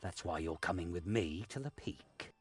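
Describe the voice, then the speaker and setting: A man playing a shrewd, commanding war leader in a fantasy game, measured and authoritative. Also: low-pitched, expressive, slightly rough, no echo, soft background music. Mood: calm command.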